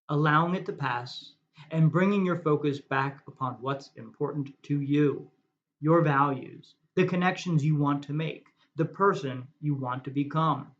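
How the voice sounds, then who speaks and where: Male meditation guide, slightly high voice, calm and measured, on a muffled lo-fi recording. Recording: dull lo-fi recording.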